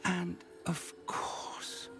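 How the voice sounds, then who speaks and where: A man voicing an older wizard professor in a game cutscene, a wry, curious mentor given to breathy exclamations. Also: drawn-out words, whispered, over music. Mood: hushed awe.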